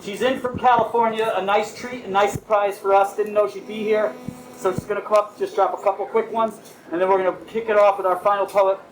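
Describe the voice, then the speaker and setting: A man hosts a live poetry night, introducing the next performers in a casual, upbeat way. His voice sits high. The recording is echoey, with clear noise from the venue behind him. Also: flat delivery, fairly quick. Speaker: event host. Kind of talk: live event hosting. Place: bar venue, live audience.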